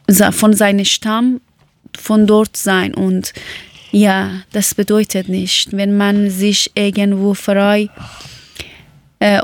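A woman, chatting casually and often pausing to search for words. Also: medium pitch, no room sound. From Afghanistan. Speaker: conversation partner. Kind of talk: casual chat in learner German.

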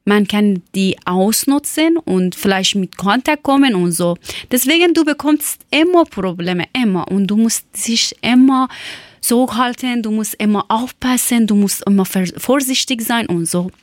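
A woman from Iran with a Persian accent, speaking German as a second language and telling a personal account haltingly, often searching for words. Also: on the high side, animated, no room sound, clean studio sound. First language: not German. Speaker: former teacher telling her life story.

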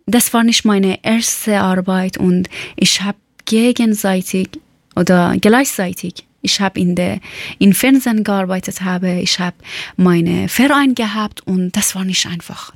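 A woman from Iran, speaking German as a learned language, tells her story haltingly and searches for words with many fillers. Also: mid-range voice, no echo, studio quality. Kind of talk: personal account.

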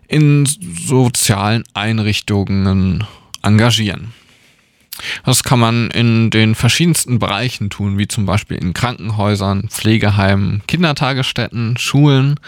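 A young man in his twenties hosts a radio segment and interviews a guest in an upbeat, friendly manner. He speaks slowly, in a somewhat low voice. The sound is dry and close, with no room around it. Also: dry recording. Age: 20s.

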